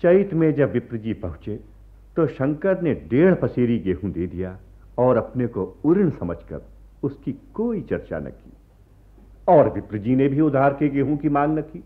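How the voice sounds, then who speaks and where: Animated male story narrator. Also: medium pitch, a little slow. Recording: tinny, phone-like archive radio recording.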